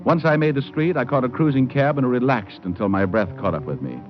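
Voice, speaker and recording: An actor playing a cool, wisecracking private detective, narrating with dry wit in hardboiled old-time radio style. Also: male voice, mid-range voice, a little fast, natural voice. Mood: wry, cool. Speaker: lead and narrator. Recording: dull old radio recording. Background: music.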